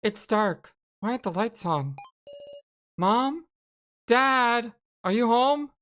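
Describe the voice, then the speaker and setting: Woman as scared schoolgirl, horror game heroine, raised pitch, slow hesitant delivery, emotive. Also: lively intonation, a bit bright, a little hiss or hum. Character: frightened, anxious teenage schoolgirl in horror game.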